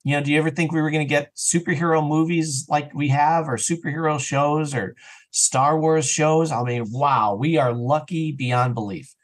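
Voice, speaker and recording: A man's voice: a little high, steady tone, moderate pace. A man speaking as an interview guest on a podcast in a casual, opinionated, emphatic conversational way. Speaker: interview guest, audio drama writer and actor.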